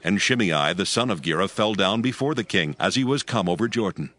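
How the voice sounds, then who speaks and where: A male narrator reading scripture in a steady, grave storytelling manner for a dramatized production. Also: lowish voice, brisk. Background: music.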